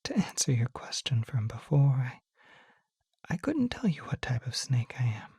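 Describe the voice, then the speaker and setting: A woman voicing a gentle, shy young male naga in an ASMR roleplay, speaking in a soft, hesitant, breathy whisper. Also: extremely low pitch, sing-song, moderate pace, dry recording, studio quality, exaggerated voice. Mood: shy, explaining. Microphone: close microphone, dry.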